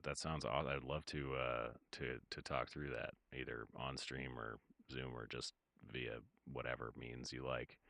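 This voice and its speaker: Very deep, gravelly man chatting casually. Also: flat delivery, a little slow, hardly any pauses, pushed and bright, dry recording. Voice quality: very low voice. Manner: rambling, hesitant, many fillers and trailing-off sentences. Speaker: livestreaming music producer. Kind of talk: casual livestream chat while working. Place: home studio at a computer.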